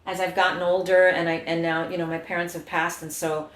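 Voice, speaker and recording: A middle-aged woman from New York, telling her life story in a lively way as an interview guest, acting out quotes and breaking into song. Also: lowish voice, a little fast, echoey room. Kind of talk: casual interview chat.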